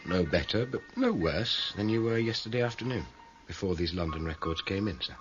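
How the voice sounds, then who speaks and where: Low-pitched, animated male police detective. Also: a little fast. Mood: cool, polite. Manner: polite, clipped, officious. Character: courteous but insistent police detective, coolly official. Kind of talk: radio drama acting. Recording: dull radio recording, music behind.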